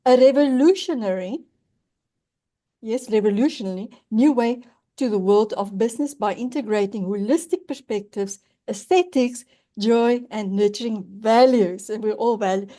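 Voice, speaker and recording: A woman's voice: fairly high pitch, moderate pace, mellow. A woman hosting a video-call interview, introducing her guest in an effusive, emphatic way. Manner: effusive, emphatic, spiritual phrasing. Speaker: host.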